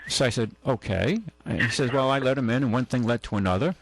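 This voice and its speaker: A man's voice: mid-range voice, fast, creaky, a little hiss or hum, low-quality recording. A man hosting a talk radio show, telling long anecdotes with many restarts and acting out the quotes. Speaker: radio show host and car dealer. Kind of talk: casual on-air storytelling. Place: radio studio.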